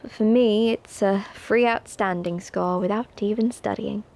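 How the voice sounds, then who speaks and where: A woman's voice: mid-range voice, steady tone, measured pace, creaky, a little mellow. A woman playing a haughty, prim upper-class schoolgirl at a wizard academy in an ASMR roleplay, snooty, scolding and teasing. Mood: grudgingly interested. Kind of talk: ASMR roleplay addressed to the listener.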